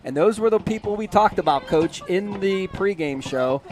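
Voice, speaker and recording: Man, play-by-play announcer, chatty, energetic, hoarse from losing his voice, press box, outdoor stadium. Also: high-pitched, soft background music. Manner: chatty, energetic, reads scores quickly. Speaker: play-by-play broadcaster. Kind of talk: postgame sports commentary.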